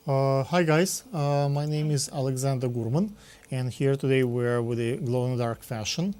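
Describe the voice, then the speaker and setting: A man hosting a public access TV interview, talking haltingly with many fillers and giving set directions. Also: medium pitch, very noisy.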